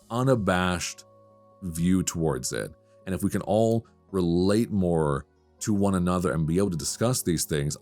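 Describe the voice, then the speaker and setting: A man's voice: deep voice, darkish tone. A man hosting a solo podcast in a casual, conversational way, speaking with enthusiasm and emphasis. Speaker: podcast host.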